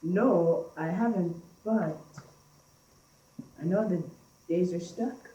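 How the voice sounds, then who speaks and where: A woman's voice: fairly low pitch, warm tone, lo-fi sound. A young teenage girl playing a plain-spoken, stubborn village man in a school radio play. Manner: slow, simple, matter-of-fact. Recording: echoey room, distant mic.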